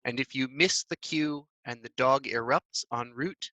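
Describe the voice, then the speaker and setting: A man's voice: mid-range voice, measured pace, bright, forceful tone, no room sound. A man joining by video call as a poetry reader, with an easy, informal delivery. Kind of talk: casual greeting and poem reading.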